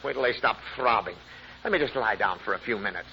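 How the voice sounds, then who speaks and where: An American actor playing a put-upon, groaning, middle-aged husband in an old-time radio sitcom. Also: male voice, lowish voice, quick pace, lightly acted. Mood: weary, groaning. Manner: groaning, exclamatory, stammering. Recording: muffled, hissy old radio recording.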